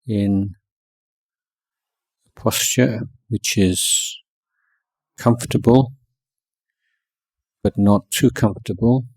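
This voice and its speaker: A man guiding a meditation as a teacher, speaking in short measured phrases with long pauses between them. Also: fairly low pitch, steady tone, a little mellow, slightly rough, dry recording. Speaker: Buddhist monk teaching meditation. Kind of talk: guided meditation instruction.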